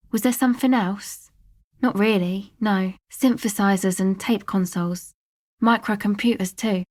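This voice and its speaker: A woman's voice: mid-range voice, a little mellow, no echo, studio quality, close to an everyday voice. An actress voicing a laid-back, guarded young woman from a dance music crowd, speaking casual, slangy video game dialogue with an offhand manner. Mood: matter-of-fact. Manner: offhand, slangy, hesitant asides.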